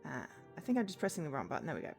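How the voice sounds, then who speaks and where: A woman streaming a game live, with a slightly low voice and very animated intonation. She reads the text aloud and interrupts herself with exasperated asides. Faint music plays behind her. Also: very fast, creaky, slightly rough.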